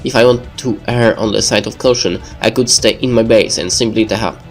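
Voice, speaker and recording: A man's voice: on the low side, moderate pace. A man reading the narration of a visual novel aloud in a let's play style. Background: game music.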